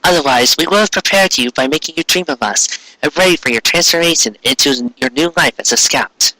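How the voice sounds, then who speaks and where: A man's voice: a little high, a little fast, bright, forceful tone, no room sound, low-quality recording. A man voicing the solemn, formal ghost of a moon queen in an anime fan fiction reading.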